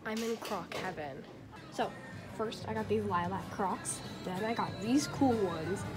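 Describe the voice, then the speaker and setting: A woman's voice: mid-range voice, unhurried, loud music behind the voice. A young woman vlogging on a handheld phone, chatty and bubbly as she talks straight to her viewers. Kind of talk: casual vlog to a phone camera. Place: car, bedroom and a busy shopping mall. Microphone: handheld phone camera at arm's length. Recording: phone audio with steady background noise.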